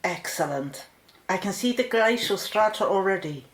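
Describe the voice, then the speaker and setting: A woman acting a brisk, focused research scientist giving instructions in a radio play. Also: mid-range voice, lively intonation, echoey room, close to an everyday voice.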